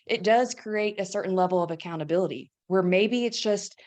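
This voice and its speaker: A woman's voice: medium pitch, brisk, darkish tone. A woman hosting a webinar, speaking in a conversational, upbeat way with fillers. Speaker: host. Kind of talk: webinar chat.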